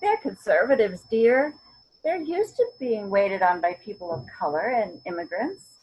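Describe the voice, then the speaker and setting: A woman's voice: medium pitch, lively intonation, moderate pace, muffled recording, slight background noise, close to an everyday voice. A woman acting a stubborn, principled, wry elderly mother in a readers theater drama, often searching for words and then stressing them. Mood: exasperated. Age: older. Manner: word-searching, emphatic, wry.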